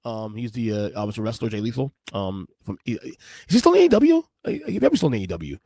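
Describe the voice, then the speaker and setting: A man's voice: mid-range voice, big pitch swings, fast talker, warm tone, dry recording, clean studio sound. A man in his forties hosting a podcast, giving rambling, emphatic commentary on video clips and often repeating himself. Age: 40s. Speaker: podcast host.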